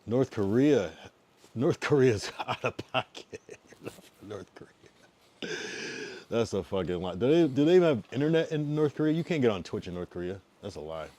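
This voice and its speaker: A man's voice: mid-range voice, very animated, normal speed. A man in his thirties from the US East Coast, chatting casually and laid-back with viewers on a livestream, trailing off into mumbles. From East Coast US, Massachusetts and Connecticut. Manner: laid-back, drifts loud then mumbly.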